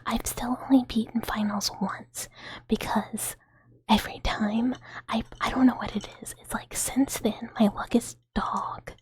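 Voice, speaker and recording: A woman's voice: on the high side, steady tone, unhurried, breathy edge. A woman in her thirties, whispering and giggling through a casual livestream chat as she answers comments from viewers. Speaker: streamer.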